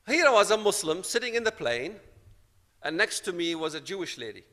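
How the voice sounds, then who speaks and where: A man's voice: high voice, big pitch swings, fairly quick, pushed and bright, big-room sound. A man from Palestine giving a personal testimony, telling his story dramatically and acting out the dialogue. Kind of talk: storytelling talk to an audience.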